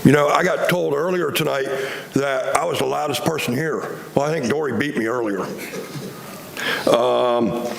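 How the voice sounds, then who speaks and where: A man with a big, loud voice, scolding a city commission during public comment in a council chamber. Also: medium pitch, normal speed, gravelly. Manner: scolding, exasperated lecturing. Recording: roomy chamber with faint noise.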